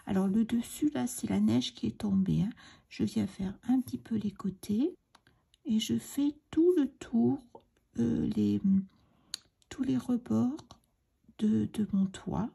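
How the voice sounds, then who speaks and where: A middle-aged woman with a cold, hoarse and breathy, chatting hesitantly and often half-whispering as she explains a crafting tutorial. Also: on the high side, slow, mellow. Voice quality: raspy, breathy, gravelly. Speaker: card-making tutorial host.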